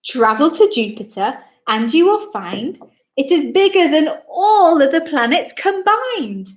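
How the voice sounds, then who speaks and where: Very high, animated woman reading to children. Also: unhurried. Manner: theatrical, exclaiming, addresses young listeners directly. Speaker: children's storytime host reading a picture book aloud. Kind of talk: expressive rhyming read-aloud for young children. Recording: roomy, echoing indoor sound.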